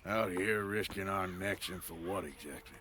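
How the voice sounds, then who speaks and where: An actor playing a weary, protective middle-aged outlaw in a video game, grave and plain-spoken, with an American Western drawl. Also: male voice, sits low, moderate pace, noisy background, close to an everyday voice. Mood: weary, disillusioned. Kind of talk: dramatic video game acting.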